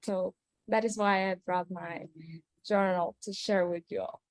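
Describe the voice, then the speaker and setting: A woman from Venezuela, Spanish her first language, speaks earnestly over a video call. She talks at a medium pitch, often restarting as she searches for words. The sound is close and dry, with no room in it. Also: steady tone, unhurried, no room sound. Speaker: storyteller guest. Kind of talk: personal show-and-tell story on a video call.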